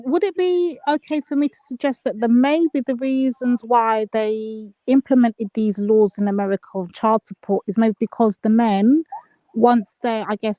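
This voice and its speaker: A woman from the UK on a panel, asking questions politely and hesitantly with many pauses. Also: a little high, mellow, no room sound. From United Kingdom.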